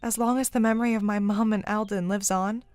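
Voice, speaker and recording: A woman's voice: mid-range voice, steady tone, a little fast, darkish tone, lightly acted. A woman playing a determined, protective young fugitive in a fantasy audio drama, speaking bluntly and firmly. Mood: reassuring.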